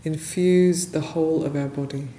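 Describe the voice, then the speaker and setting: A woman leading a guided meditation as a teacher, speaking gently and unhurriedly in short phrases with long pauses. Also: low-pitched, fairly even intonation. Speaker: meditation teacher, Buddhist nun. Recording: roomy echo, faint background noise.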